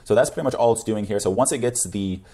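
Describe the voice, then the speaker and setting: A man's voice: lowish voice, fast, warm tone. A young man presenting a coding tutorial in a casual screencast style. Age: young adult.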